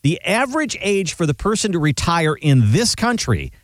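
A man co-hosts a radio show with an upbeat, chatty delivery. His voice sits fairly high and swings widely in pitch, and it is recorded dry and close in the studio. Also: normal speed, no echo. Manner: upbeat, animated, leading questions. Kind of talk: conversational radio finance talk.

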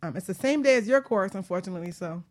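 A woman's voice: medium pitch, lively intonation, fast. A woman hosting a casual radio talk show, chatting informally and promoting things as she goes.

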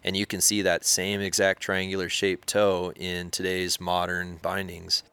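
A man's voice: deep voice, monotone, unhurried, noisy background. A man answering an interview question in a casual, emphatic way.

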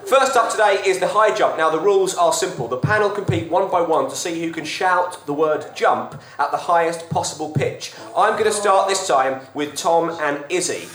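A man hosting a comedy panel show, running the rounds in a brisk, mock-stern way in front of a live audience. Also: a little high. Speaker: quiz host. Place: stage before a live audience. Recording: live before a loud laughing audience, echoey room.

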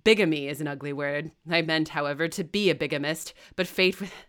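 A woman playing a fierce, defiant nobleman who speaks in loud, scornful declamations. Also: extremely low pitch, fairly even intonation, a little slow, full character voice.

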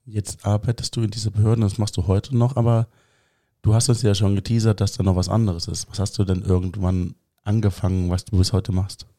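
A man's voice: lowish voice, flat delivery, fairly quick, dark tone, no room sound, studio quality. A man hosting an interview who asks curious, short follow-up questions in a casual way.

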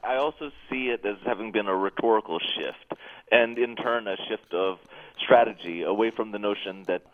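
A man in his thirties, an author answering interview questions in a measured, articulate way. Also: fairly low pitch. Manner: measured, articulate, occasional soft laughs. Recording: tinny phone-line audio.

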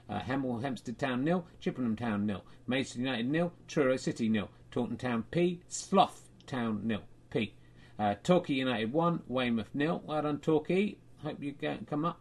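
A man hosting a comedy show, reading out made-up football scores in a dry, deadpan, rambling way. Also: mid-range voice. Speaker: comedy podcast host. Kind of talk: mock football results reading and chat.

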